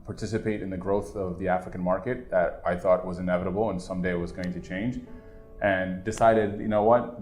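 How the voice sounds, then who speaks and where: A man, born in Ethiopia, introducing himself in a relaxed, reflective way. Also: low voice. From Ethiopia, raised in the Washington DC area. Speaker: entrepreneur, angel investor. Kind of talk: casual self-introduction. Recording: echoey room.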